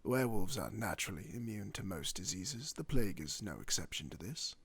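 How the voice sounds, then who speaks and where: A man playing a courteous werewolf doctor in a fantasy ASMR roleplay, speaking formally and reassuringly with old-fashioned wording and a husky voice. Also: fairly low pitch, expressive, brisk, a bit bright, exaggerated voice. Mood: polite, reassuring.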